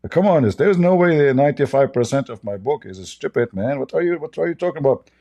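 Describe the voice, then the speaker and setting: A man's voice: on the high side, expressive, quick pace, warm tone. A man whose first language is Arabic, hosting in casual commentary, sarcastic and mocking, often repeating himself. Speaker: host.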